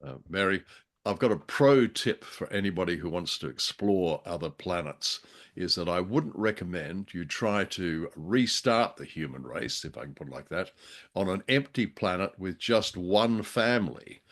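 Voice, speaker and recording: A man's voice: deep voice, lively intonation, moderate pace. An older man co-hosting a casual podcast chat, with a wry, joking and rather hesitant delivery. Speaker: podcast co-host. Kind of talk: casual chat reviewing an old radio drama.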